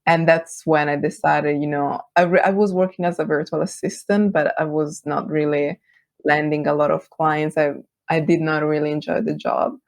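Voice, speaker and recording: A woman with an Italian accent whose first language is Italian, chatting as an interview guest, friendly and quick to laugh. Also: low-pitched, fairly even intonation, dry recording. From Italy. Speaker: guest, brand designer. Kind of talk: casual interview chat.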